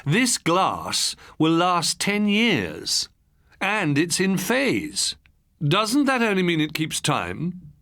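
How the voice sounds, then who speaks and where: A man's voice: high-pitched, huge pitch swings, extremely slow, sharp tone, no room sound, clean studio sound, voice pushed up, exaggerated voice. An actor voicing an eager, showy male salesman with an effusive, emphatic, patronising manner in an audiobook story.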